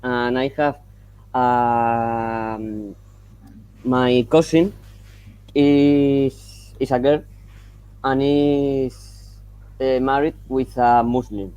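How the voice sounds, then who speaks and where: A Spanish-speaking man from Colombia with a Colombian accent, calling in over video and halting as he searches for English words. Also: medium pitch, steady tone, mellow, smooth, dry recording, muffled recording. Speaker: caller. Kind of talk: call-in discussion. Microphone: video call.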